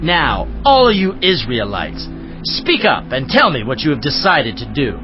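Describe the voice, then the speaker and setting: A man's voice: mid-range voice, sing-song, a little slow, highs cut off, music in the background. A man playing an outraged Israelite addressing an assembly in dramatized scripture, emphatic and declamatory. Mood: outraged appeal.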